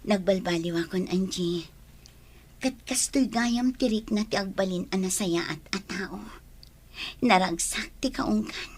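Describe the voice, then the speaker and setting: A woman acting a gentle, contented young wife and mother in an Ilocano radio drama. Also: mid-range voice, a little slow, slightly rough. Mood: friendly.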